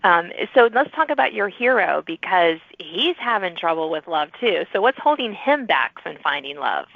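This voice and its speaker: A woman's voice: on the low side, big pitch swings, normal speed, no echo. A woman hosting a podcast, interviewing guests in a conversational way. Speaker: podcast host interviewing authors. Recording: thin phone-like line.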